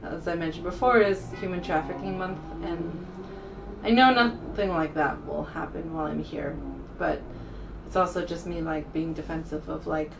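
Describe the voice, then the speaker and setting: Low-pitched woman vlogging, slightly distant mic. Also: fairly even intonation, normal speed, gravelly. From United States. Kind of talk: casual rambling vlog talk to camera. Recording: distant mic with faint room noise.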